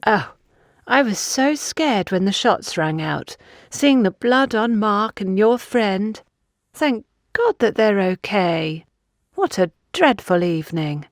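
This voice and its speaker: An older, meddling society mother from an audiobook , voiced by a woman narrator. She is chatty, dramatic and insistent, with swooping, lively intonation on a dry recording. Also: mid-range voice, slow pace, no echo, pitched above the performer's own voice. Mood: distressed.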